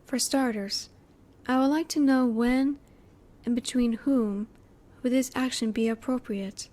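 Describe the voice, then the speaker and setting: Woman doing a curious alien lady for an audio roleplay, highish mellow voice, super polite n formal, kinda reserved. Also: fairly even intonation, a little slow, natural voice. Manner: formal, precise, stilted wording, politely inquisitive. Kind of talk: roleplay audio drama, one-sided dialogue to the listener.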